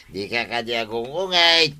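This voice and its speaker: A man's voice: medium pitch, animated, a little slow, bright, forceful tone, clean tone. A man playing a mischievous, tipsy village man who rambles and mocks people in a comedy radio drama. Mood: tipsy mocking. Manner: mocking, rambling, name-calling.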